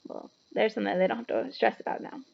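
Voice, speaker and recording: Female storyteller, animated and explanatory, teacher-like, with noticeable creak and dull recording. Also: medium pitch, quick pace. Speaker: teacher reading a children's novel aloud. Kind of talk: expressive read-aloud with chatty asides to children.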